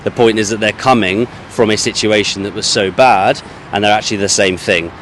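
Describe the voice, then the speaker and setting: A man holding a microphone out on the street, questioning passers-by in a probing, leading way and circling back on his words. His voice sits a little low, and faint traffic hums behind him. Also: steady tone, brisk, a bit bright. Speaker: street interviewer. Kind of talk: vox-pop street interview. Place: outdoors on a street near a university. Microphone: handheld mic.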